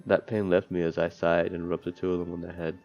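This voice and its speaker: A man with a deep, creaky voice reads visual novel text aloud as he plays. He breaks in with crude, sardonic, sweary asides. The mic is dull and lo-fi, with game music behind him. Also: level tone, fast talker. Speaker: streamer playing a visual novel. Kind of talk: let's play, reading game text aloud with commentary.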